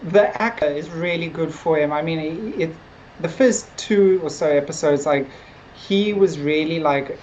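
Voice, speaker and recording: A low-voiced woman talks through her opinions on a podcast in a loose, conversational way. She hedges often and peppers her points with little filler words. There is some noise on the recording. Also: a little slow, a little mellow, lo-fi sound. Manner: hedging, conversational, frequent "like" and "sort of". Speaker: podcast co-host discussing a TV series. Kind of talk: casual review discussion.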